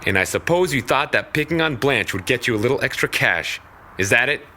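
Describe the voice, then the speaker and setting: A man's voice: medium pitch, lively intonation, fairly quick, bright, forceful tone, a little hiss or hum. An actor playing a stern, protective young man in an audio drama, terse and commanding. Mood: threatening, commanding.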